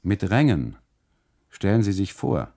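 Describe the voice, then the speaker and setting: A man's voice: deep voice, very animated, measured pace, gravelly, pitched above the performer's own voice, full character voice. A man playing a boastful, aggrieved café custodian in a literary radio monologue, calling out emphatically and excusing himself. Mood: showy, explaining. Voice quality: loud, calling out. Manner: emphatic, self-justifying.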